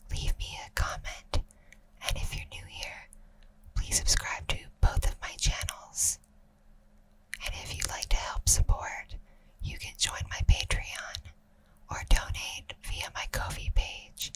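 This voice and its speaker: Female ASMR host, fully whispered, polite and soft address to the audience. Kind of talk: whispered ASMR sign-off to listeners.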